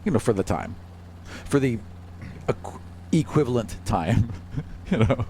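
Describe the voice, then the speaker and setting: A man's voice: medium pitch, big pitch swings, unhurried, vocal fry, warm tone, slight background noise. A man running a tabletop game as game master, chatting casually with the players.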